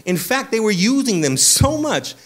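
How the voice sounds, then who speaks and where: A pastor preaching a sermon in a church, casual and energetic, with slang and frequent restarts. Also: male voice, sits high, normal speed. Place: church sanctuary.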